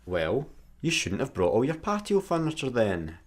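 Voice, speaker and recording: A man as a sarcastic Scottish spaceman, husky voice. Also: medium pitch, big pitch swings, normal speed. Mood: sarcastic, irritable. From Scotland. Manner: sarcastic, grumbling. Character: sarcastic, scrappy male space satellite worker. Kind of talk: sci-fi comedy radio play acting.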